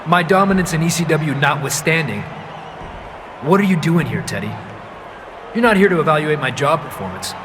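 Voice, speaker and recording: Casting: male actor as sarcastic, cocky wrestling hero for a game, wisecracking, higher-set voice, light background noise. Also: lively intonation, normal speed, pauses often, close to an everyday voice. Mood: dry irritation. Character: cocky, sarcastic champion wrestler, defiant smart aleck. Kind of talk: scripted wrestling game cutscene acting.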